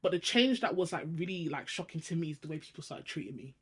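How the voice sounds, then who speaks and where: A young woman, co-hosting a casual podcast and telling her story in a quick, animated way. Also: deep voice, breathy edge. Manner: fast, animated storytelling with frequent laughs. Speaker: podcast co-host.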